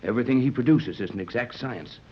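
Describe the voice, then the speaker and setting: An actor playing a steady, fatherly sea captain who reasons things out, in 1930s radio serial style. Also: medium pitch, husky, natural voice. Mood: thoughtful. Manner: measured, reassuring, explanatory. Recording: dull old 1930s radio recording.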